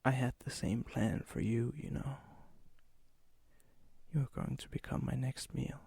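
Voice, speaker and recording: A man performs a melancholy vampire in an intimate ASMR roleplay. His voice is a breathy, gravelly whisper, kept very even and subdued. It sits close and dry, halting as if weighed down by loneliness and remorse. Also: medium pitch, no echo, heavily acted. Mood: resigned, melancholy. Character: lonely, brooding, remorseful vampire, softening toward a stranger.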